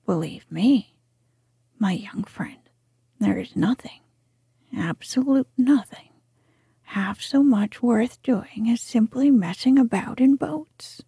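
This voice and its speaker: A woman's voice: on the high side, lively intonation, gravelly, breathy edge, no echo, clean studio sound, heavily acted. A woman voicing a cheerful, dreamy male water rat who loves boats, speaking in a whisper. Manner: whispered, soft and unhurried.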